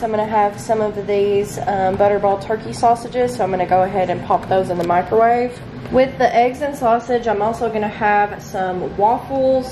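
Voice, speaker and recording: A young woman in her twenties chatting casually about her groceries in a home kitchen, bubbly and quick to laugh. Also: medium pitch. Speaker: lifestyle vlogger. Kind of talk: casual grocery haul chat.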